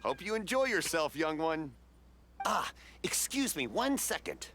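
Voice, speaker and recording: A man's voice: fairly high pitch, sing-song, a little slow, a little hiss or hum. A middle-aged man voicing a friendly, generous festival vendor in a video game, chuckling as he banters. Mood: jovial. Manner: jovial, chuckling, warm-hearted banter.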